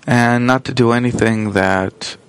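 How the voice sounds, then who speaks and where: A man interpreting a spiritual lesson live into English, speaking in measured, halting phrases with pauses mid-sentence. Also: medium pitch, fairly even intonation. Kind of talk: simultaneous interpretation from another language.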